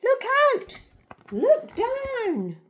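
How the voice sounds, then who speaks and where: A woman voicing a young, innocent duck-billed dinosaur from a storybook, excitable and wide-eyed in manner. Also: very high-pitched, slow pace, pitched above the performer's own voice, exaggerated voice. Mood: urgent.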